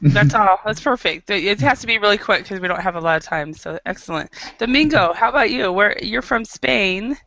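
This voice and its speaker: An American woman leading an online English class, upbeat and encouraging, with frequent quick affirmations. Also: mid-range voice, expressive, pushed and bright, lo-fi sound. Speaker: English teacher. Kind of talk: online group English lesson.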